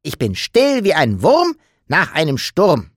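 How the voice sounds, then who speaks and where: A man's voice: fairly high pitch, huge pitch swings, moderate pace, no room sound, studio quality, voice pushed up. A man voicing a playful, mischievous childlike creature in an audiobook, with a bouncy, teasing way of talking. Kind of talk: audiobook character voice.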